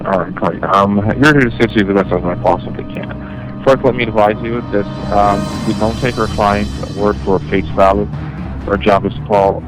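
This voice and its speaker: A man with a low voice explains terms as a collections manager on a phone call. He is wordy and halting, often repeating himself, and music plays clearly behind him. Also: steady tone.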